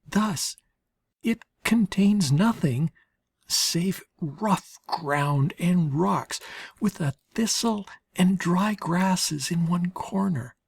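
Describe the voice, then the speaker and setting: A woman with a husky, breathy voice narrates literary letters as an audiobook reader, in a measured, expressive way with deliberate pauses. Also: fairly low pitch, dry recording.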